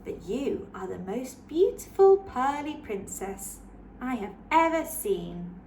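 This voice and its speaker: A woman's voice: fairly high pitch, big pitch swings, takes it slowly, warm tone, reverberant, slight background noise, exaggerated voice, poor audio. A woman voicing a brave, eager young male knight in a children's story. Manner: bold and eager.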